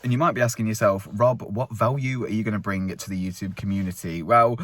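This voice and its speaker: A man in his thirties talks in a loose, rambling, chatty way, like a vlogger on a walk. His voice sits fairly low. The sound is close and dry, with no room around it. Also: fairly quick, fairly bright tone, dry recording. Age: 30s. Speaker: vlogger host. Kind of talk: casual walk-and-talk vlog. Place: outdoors on a countryside walk.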